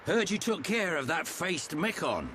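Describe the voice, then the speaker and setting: An actor playing a gruff, teasing older man who trades gear, in a video game cutscene. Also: on the high side, very animated, measured pace, bright, forceful tone, slight background noise, full character voice.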